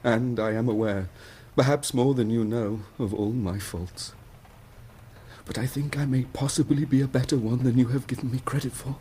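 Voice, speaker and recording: An actor playing a tender, humble husband in a period radio drama, speaking gently and earnestly. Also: male voice, medium pitch, moderate pace, slight background noise, natural voice. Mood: forgiving, soothing.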